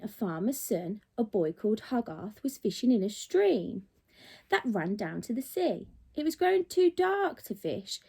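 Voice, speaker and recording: A woman reading a children's storybook aloud in an animated, dramatic, teacherly way. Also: fairly high pitch, breathy edge. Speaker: primary school teacher.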